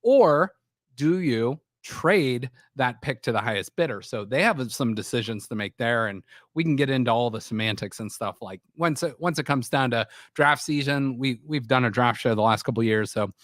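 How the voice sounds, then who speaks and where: A man's voice: medium pitch, expressive, normal speed, a bit bright, dry recording, clean studio sound. A man hosting a sports talk show, with a scratchy voice from a sore throat, rambling with frequent restarts. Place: small studio.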